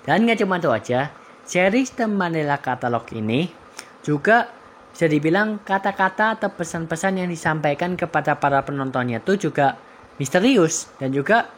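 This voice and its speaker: A man's voice: on the high side, lively intonation, normal speed. Indonesian man commentating over noisy ambience. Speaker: host of a horror recommendation channel. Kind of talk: informal video commentary. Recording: clear background noise and ambience.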